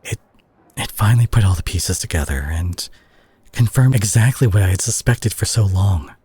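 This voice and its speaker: Man acting concerned superhero, audio drama, very breathy husky voice, widely swinging intonation, earnest, halting delivery. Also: low-pitched, lively intonation, dark tone, dry recording, heavily acted. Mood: somber, pained. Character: concerned male superhero confronting his reckless friend and teammate. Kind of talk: dramatic audio roleplay monologue.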